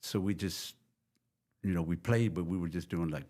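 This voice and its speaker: An older man from Texas, a guest musician, telling long rambling stories in a relaxed chat. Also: deep voice, moderate pace. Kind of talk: casual interview storytelling.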